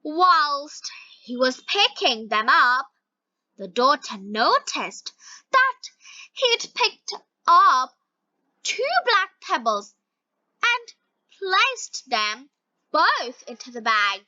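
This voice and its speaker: A woman reading scripted story narration for language learners, word by word with frequent pauses. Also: high voice, very animated, pushed and bright, dry recording, lo-fi sound.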